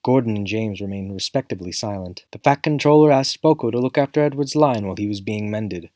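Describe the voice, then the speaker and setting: A man's voice: on the low side, fairly quick, darkish tone, dry recording, studio quality. A man narrating a scripted children's story in a theatrical, emphatic storytelling manner. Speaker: storybook reader. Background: music.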